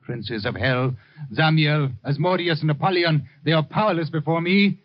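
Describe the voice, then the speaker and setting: A man's voice: a little high, moderate pace, natural voice. An actor playing an intense, deranged, grandiose man who claims to be a poet, in theatrical 1950s radio drama style. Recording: muffled vintage 1950 radio recording.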